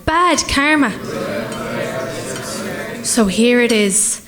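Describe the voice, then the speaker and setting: A woman's voice: on the high side, lively intonation, extremely slow, a crowd in the background. An Irish woman playing an earnest, superstitious young folk singer in a radio play, chatting in rambling asides. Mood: nervous, chatty.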